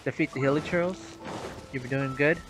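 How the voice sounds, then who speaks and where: A woman's voice: extremely low pitch, normal speed, heavy background noise. A woman streaming a game, giving casual, chatty gameplay commentary. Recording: loud game music over voice.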